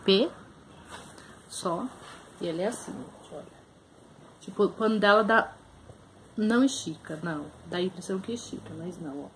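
A woman's voice: mid-range voice, normal speed, long pauses, fairly bright tone, a little hiss or hum, poor audio. A young Brazilian woman with a Brazilian Portuguese accent, chatting casually to camera in a small room as she shows her thrift finds. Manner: chatty, shows items up close, many fillers. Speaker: vlogger. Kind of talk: casual haul video chat.

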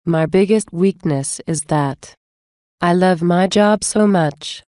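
A woman reading English example phrases for a language lesson, saying many of them twice in a measured way. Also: fairly low pitch, mellow, no room sound, clean studio sound. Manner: measured, repeats each phrase slowly. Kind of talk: slow scripted phrase reading.

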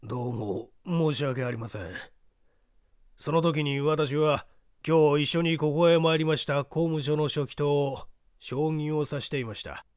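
A man's voice: a little high, unhurried, a little mellow, no echo, studio quality, close to an everyday voice. A man voicing a timid, apologetic electrical technician testifying in a dramatic audiobook reading. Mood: meek, apologetic. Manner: meek, deferential.

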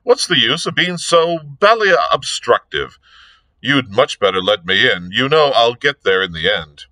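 A man voicing a blithe, cheeky gentleman sleuth with a breezy, flippant, chatty manner. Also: medium pitch, sing-song, a little slow, no echo, rough recording. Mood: playful, teasing.

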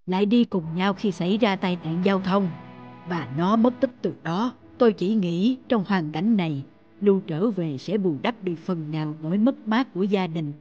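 A woman voicing a gentle, affectionate, patient old grandfather in a cartoon. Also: mid-range voice, normal speed, lo-fi sound, natural voice. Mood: earnest. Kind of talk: cartoon voice acting. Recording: music playing behind the voice.

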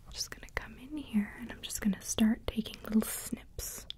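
Softly whispering woman, ASMR salon roleplay. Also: a little high, moderate pace. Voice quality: soft, breathy whisper. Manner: gentle, reassuring, chatty with the client. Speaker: ASMR roleplayer playing a hair stylist. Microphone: close ASMR microphone. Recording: faint noise, small mic bumps and clicks.

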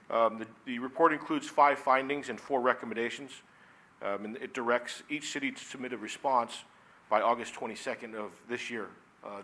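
Man in his 40s, police chief, brisk formal briefing at a desk mic, bright edge. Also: mid-range voice, poor audio. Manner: formal, reads from notes with many "uh"s. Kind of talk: formal report at a council meeting. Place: council chamber, seated at a desk. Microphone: desk microphone.

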